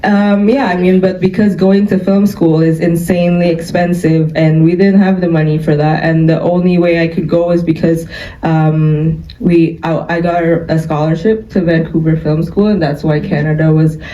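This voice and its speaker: A young woman tells her story in a chatty way, laughing often between thoughts. Her voice is mellow and dark-toned. She sounds set back from the mic in a roomy studio, with a dull, muffled audio quality. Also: on the low side, fairly even intonation, moderate pace, recorded from a distance. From Indonesia. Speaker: filmmaker guest. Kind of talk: interview storytelling.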